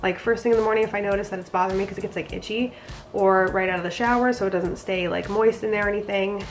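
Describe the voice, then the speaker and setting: A woman's voice: mid-range voice, fairly even intonation, quick pace, soft background music. A young woman vlogging a casual pregnancy update, sounding nasal from a cold, chatty and rambling. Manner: chatty, rambling, frequent fillers.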